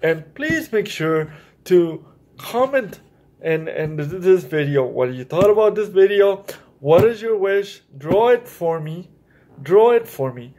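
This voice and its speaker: Male children's storytime host: notably high voice, unhurried read-aloud delivery, speaking straight to kids. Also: animated, a little mellow. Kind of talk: animated read-aloud of a picture book with explanatory asides.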